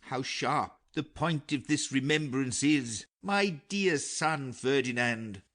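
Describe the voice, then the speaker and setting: A man's voice: medium pitch, takes it slowly, a bit bright. A man playing a grieving, earnest old king in a dramatic Shakespeare audiobook, with a theatrical, declamatory delivery.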